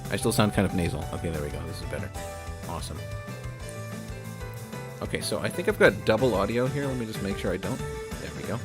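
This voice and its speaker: Guy streaming games, joking with chat, lowish voice, music going behind. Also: lively intonation, brisk, pauses often. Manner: playful, jokey, chatty with his audience. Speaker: game streamer. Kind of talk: casual live-stream chat. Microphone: desk microphone.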